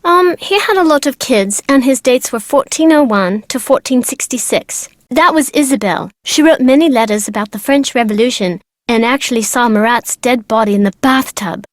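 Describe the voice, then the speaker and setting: A woman's voice: a little high, moderate pace, few pauses, no room sound, clean studio sound, natural voice. A woman voicing a chatty, precocious young girl from an aristocratic family in a video game, with casual, slangy, teasing talk. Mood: bored. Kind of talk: video game character dialogue.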